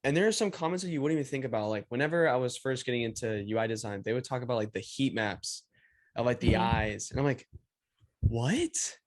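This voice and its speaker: Young male host, non-native English, easygoing and rambling, mid-pitched, studio mic at home. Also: fairly quick. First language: not English. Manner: relaxed, rambling, many "like" fillers. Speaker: podcast host. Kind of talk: casual interview chat. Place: small room at home. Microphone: studio mic on stand, video call.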